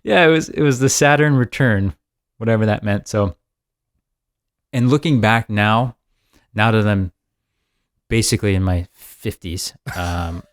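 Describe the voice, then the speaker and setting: A man's voice: on the low side, a little slow, pauses often, no room sound, clean studio sound. A man in his mid-thirties, co-hosting a podcast in an upbeat, rambling chat. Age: 30s. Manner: rambling, upbeat, laughs often. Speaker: podcast co-host. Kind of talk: casual chat.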